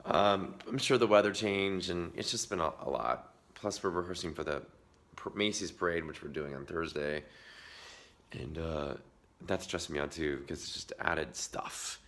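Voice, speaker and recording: A man with a cold talking casually to the camera, hoarse and half-whispering at times to spare his voice. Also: sits low, a bit bright. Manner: chatty, confiding, partly whispered to save the voice. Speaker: vlogger. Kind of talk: casual vlog talk. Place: outdoors in the woods.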